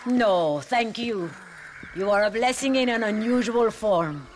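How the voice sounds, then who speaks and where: An actress playing a devout, troubled nun in a video game, speaking in a gentle, earnest, formal way. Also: female voice, medium pitch, animated, unhurried, a little hiss or hum, natural voice. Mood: solemn, earnest. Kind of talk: video game cutscene acting.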